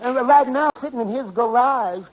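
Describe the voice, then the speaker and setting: An actor playing a flustered, slow-witted barber who stammers and tangles his sentences, in 1940s radio comedy style. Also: very high voice. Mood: eager, muddled. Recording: muffled vintage 1940s radio recording.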